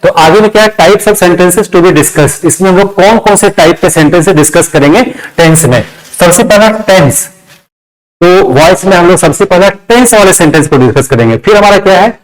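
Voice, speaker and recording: A male teacher with a Bihari accent and a slightly high voice explains a lesson step by step. He repeats his phrases and dictates key words one at a time. Short tag questions punctuate his explanation. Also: expressive, normal speed, low-quality recording. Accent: Bihari Indian. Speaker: English grammar teacher.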